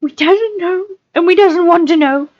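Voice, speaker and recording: A woman voicing a wretched, cringing creature in a storybook reading, whimpering and pleading in drawn-out, repetitive phrases. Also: very high-pitched, dry recording, highs cut off, pitched above the performer's own voice. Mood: fearful whimpering. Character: wretched whining creature, cringing, hungry and evasive. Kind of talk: audiobook-style character reading.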